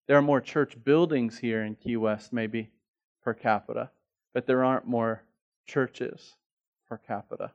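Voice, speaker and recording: A man's voice: mid-range voice, fairly even intonation, a little slow, dull audio. A middle-aged male pastor preaching a sermon in a conversational, storytelling way, repeating words as he restarts and rising to emphatic peaks.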